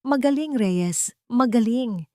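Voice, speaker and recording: A woman's voice: fairly high pitch, expressive, slow pace, a little mellow, no room sound, studio quality, pitched above the performer's own voice. A woman voicing a reserved, commanding male senior doctor in an audio drama, speaking tersely. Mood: approving. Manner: terse, authoritative. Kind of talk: audio drama character dialogue.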